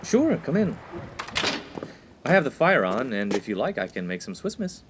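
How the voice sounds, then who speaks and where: An earnest young college freshman, played by a man in a comic radio play, proper and precise. His mid-pitched voice moves up and down a lot, with faint noise behind. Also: big pitch swings, normal speed, lightly acted. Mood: polite, hesitant. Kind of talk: 1950s-set comic radio play acting.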